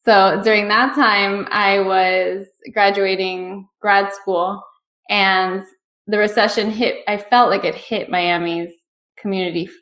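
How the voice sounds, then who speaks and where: A woman's voice: mid-range voice, steady tone, takes it slowly, fairly bright tone. A woman in her thirties hosting a podcast, asking questions in a chatty way and thinking aloud with fillers. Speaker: podcast host. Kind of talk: conversational interview.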